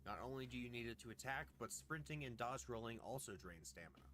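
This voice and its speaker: A man reading a scripted game review in a measured, deliberate way. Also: medium pitch, expressive, a bit bright, slight background noise. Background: music.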